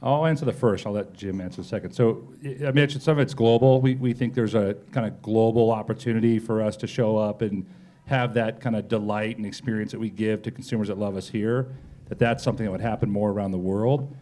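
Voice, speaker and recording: A man's voice: lowish voice, fairly even intonation, fairly quick, dark tone. A man speaking as a company executive in a live interview, conversational and sprinkled with "kinda" and "you know". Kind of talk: live panel interview answers. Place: on stage at a live pub talk event.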